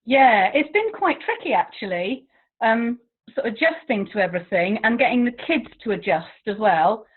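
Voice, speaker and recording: A woman's voice: a little high, animated, normal speed, breathy edge. An English woman, a languages teacher, chatting as an interview guest, talkative and self-deprecating with frequent laughs. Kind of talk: casual interview chat. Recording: tinny phone-like line.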